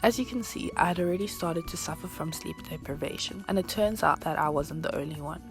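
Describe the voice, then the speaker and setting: A woman's voice: low-pitched, a little fast, over loud music. An eighteen-year-old university student narrating her vlog in a scripted voiceover with dry, self-mocking humor.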